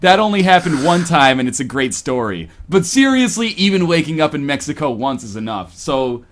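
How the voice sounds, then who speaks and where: A man's voice: fairly high pitch, normal speed. A man streaming, reading a book aloud with sarcastic, comedic commentary. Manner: sarcastic, animated.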